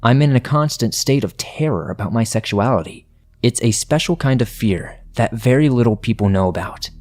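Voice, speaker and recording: A man narrating listener-submitted horror stories in the first person, in a steady, measured reading. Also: mid-range voice.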